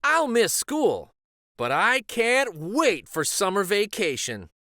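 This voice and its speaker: Man voicing an eager, high-pitched schoolkid. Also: big pitch swings, takes it slowly, pushed and bright, no echo, studio quality, full character voice. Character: earnest, excitable young schoolchildren chatting among friends.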